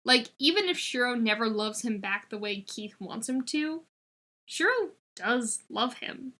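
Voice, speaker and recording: A woman narrates scripted fiction expressively. Her voice sits fairly high and has a very bright, forward edge. She reads as a storyteller would, shaping each line of the narration. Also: animated, unhurried. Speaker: fan fiction reader.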